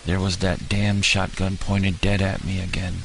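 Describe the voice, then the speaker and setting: Deep-voiced man narrating gravely. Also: completely flat delivery, noisy background. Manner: grave, deliberate storytelling. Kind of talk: dramatic scripted first-person narration. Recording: music bed with rain sounds.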